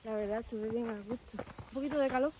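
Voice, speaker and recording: Woman chatting over loud outdoor noise. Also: a little high, quick pace. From Huelva, Spain. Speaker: hiking companion. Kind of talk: casual chat. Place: outdoors on a mountain trail.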